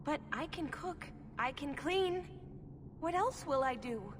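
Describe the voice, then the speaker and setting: A woman's voice: high-pitched, animated, slow. A woman playing a timid, naive young elven slave girl in a video game, meek, anxious and innocent. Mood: anxious pleading. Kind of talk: dramatic video game acting. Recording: reverberant hall, noisy game ambience.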